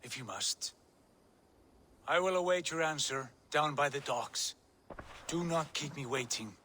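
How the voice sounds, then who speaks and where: An actor playing a proud, embittered Viking lord in a video game, speaking in a solemn, declamatory way. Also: male voice, fairly high pitch, animated, measured pace, pushed and bright, slight background noise. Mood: solemn, insistent. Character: embittered, proud Viking lord, grown man, fervent and brooding. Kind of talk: dramatic video game acting.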